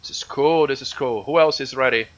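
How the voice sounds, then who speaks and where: A man with a Brazilian Portuguese accent, a game streamer chatting casually and excitedly with viewers, swearing freely. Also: mid-range voice, a little fast, muffled recording.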